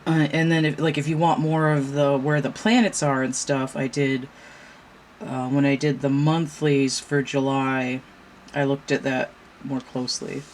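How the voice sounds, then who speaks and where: A woman hosting a casual tarot reading vlog at home, talking in a halting, meandering way with a stuffy nose. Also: extremely low pitch, little pitch movement, a little mellow. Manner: halting, many fillers, slow and meandering. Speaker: tarot reader and channel host. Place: home room with a fan running. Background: fan noise.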